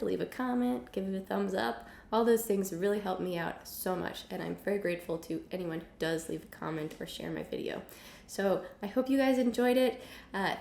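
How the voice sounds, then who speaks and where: A young woman teaching a casual music lesson at home, hoarse from illness, chatty with many ums and the odd cough. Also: mid-range voice, darkish tone, reverberant. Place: home room with piano and guitars.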